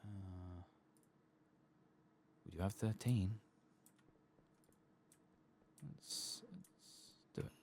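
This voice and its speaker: A soft-spoken man hosting an ASMR gaming video, thinking aloud casually in a hushed voice as he plays. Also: low voice, very slow, vocal fry, long pauses, slight background noise.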